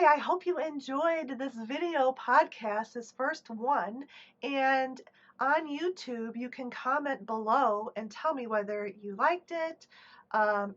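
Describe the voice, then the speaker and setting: A woman's voice: sits high, fairly bright tone, dry recording, highs cut off. A woman hosting a casual video podcast, talking in a chatty, giggly way from home. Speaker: podcast host. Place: home, remote video call.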